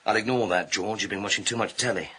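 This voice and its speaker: An actor playing a dry, sardonic British police detective inspector in a radio thriller, blunt and clipped in manner. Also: male voice, on the low side, fast talker, sharp tone, slightly rough, rough recording. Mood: exasperated, sardonic. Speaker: actor in a radio crime drama.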